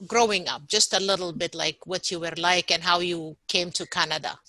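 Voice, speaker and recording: Woman podcast host, upbeat, crisp bright tone, dry recording. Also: fairly low pitch, steady tone, moderate pace, no echo. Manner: upbeat, repeats words for emphasis. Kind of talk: podcast interview.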